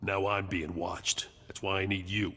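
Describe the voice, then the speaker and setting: A man's voice: low-pitched, flat delivery, measured pace, a bit bright, slightly rough, in a large hall, exaggerated voice. A man acting a clipped, world-weary intelligence agent in a science-fiction video game. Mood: urgent, conspiratorial.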